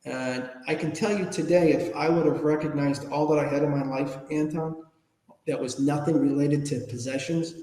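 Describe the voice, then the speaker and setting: Echoey older man, higher-pitched voice. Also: a little mellow. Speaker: interview guest, public speaker. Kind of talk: conversational personal storytelling. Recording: echoey room with long reverb.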